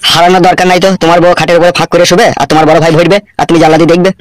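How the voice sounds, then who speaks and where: A man's voice: a little high, level tone, rapid-fire, dry recording, close to an everyday voice. A man voicing a blustering, easily scared local gangster boss in a comedy cartoon. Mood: scheming, matter-of-fact. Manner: stammers when alarmed. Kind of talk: amateur comedy cartoon dialogue.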